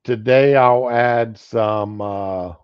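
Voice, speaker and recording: Guy on a stream call, slow rambly talk, muffled lo-fi audio. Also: mid-range voice, clear, resonant tone, no echo. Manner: slow, rambling, many fillers. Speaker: co-host. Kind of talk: casual livestream chat. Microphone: video call.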